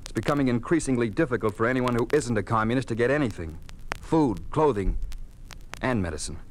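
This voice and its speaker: A man's voice: medium pitch, expressive, a little fast, a little hiss or hum, lightly acted, poor audio. An American man playing a sullen, weary sick patient in a 1950s radio drama, on an old recording. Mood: bitter.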